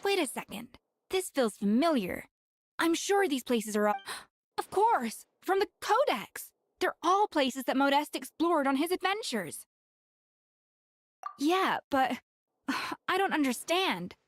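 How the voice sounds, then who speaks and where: A woman's voice: high-pitched, sing-song, takes it slowly, no echo, exaggerated voice. A woman playing a proud, exacting young chef in an anime game, self-assured and impatient. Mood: puzzled, realizing.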